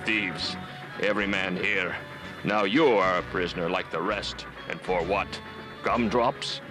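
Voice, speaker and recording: Low, sneering cartoon jailer. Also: male voice, steady tone, a little slow. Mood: mocking. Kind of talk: animated series voice acting. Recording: music behind the voice.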